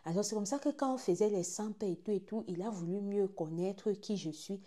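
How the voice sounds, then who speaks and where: A Gabonese woman in her twenties with a Gabonese French accent, telling a personal story dramatically, dropping to hushed asides and rising to excited exclaims. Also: medium pitch, a little fast. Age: 20s. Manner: animated, swings from hushed asides to loud exclaims, repeats phrases.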